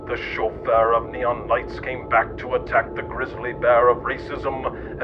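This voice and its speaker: A man narrates a scripted satire with a mock-serious, deadpan air. His mid-range voice stays very flat and barely moves in melody. The audio is dull and lo-fi, with music clearly playing behind him. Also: mid-range voice. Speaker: narrator of a satirical history podcast. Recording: muffled lo-fi audio under music. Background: background music.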